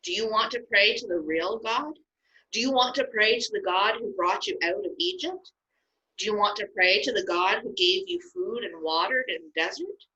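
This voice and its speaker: A woman's voice: mid-range voice, moderate pace, pushed and bright, muffled recording, lightly acted. A woman voicing Moses as an earnest, stern male leader in a children's Bible story. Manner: pleading then stern.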